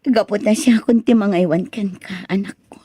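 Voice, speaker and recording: A woman's voice: medium pitch, steady tone, normal speed, mellow, husky, no echo, natural voice. A woman playing a remorseful, loving mother who speaks tenderly and pleadingly in a radio drama. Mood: tender, grateful. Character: remorseful, loving mother back from working abroad.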